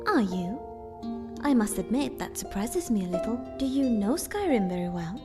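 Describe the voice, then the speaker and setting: A woman voicing a gentle, wistful young wood elf archer in a video game, speaking politely and with measured care. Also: medium pitch, sing-song, music in the background, natural voice. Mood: polite, curious. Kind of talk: scripted video game dialogue.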